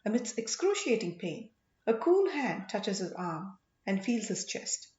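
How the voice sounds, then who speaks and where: A woman narrating an audiobook story dramatically, in measured short phrases with emphasis. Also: mid-range voice, very animated, a little mellow. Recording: echoey room, slightly muffled sound.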